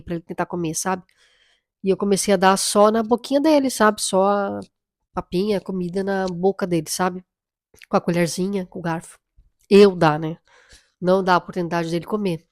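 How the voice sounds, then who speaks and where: Hoarse woman with a cold. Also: fairly low pitch, no echo, clean studio sound. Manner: chatty, reflective, often tags lines with "right?" and "you know?". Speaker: mother vlogger. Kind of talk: casual chat to camera.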